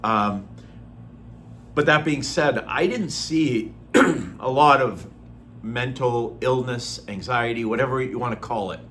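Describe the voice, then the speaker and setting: A middle-aged man from Norway, born Norwegian-speaking, talking in an earnest, unhurried way with frequent ums and throat clearing in a personal vlog. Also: medium pitch, a bit bright. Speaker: vlogger. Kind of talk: casual personal vlog talk.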